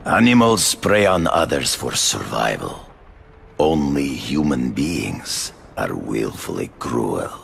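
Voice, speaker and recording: Very deep, gravelly, menacing hunter. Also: male voice, big pitch swings, a bit bright, a little hiss or hum, heavily acted. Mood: solemn, philosophical. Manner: slow, deliberate, grandiose. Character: menacing, theatrical male big-game hunter, proud of his skill. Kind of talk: dramatic video game acting.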